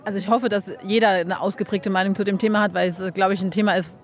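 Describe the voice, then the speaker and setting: A woman's voice: mid-range voice, steady tone, quick pace. A young woman, a bobsleigh pilot, answering interview questions frankly and casually, with many fillers. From Germany.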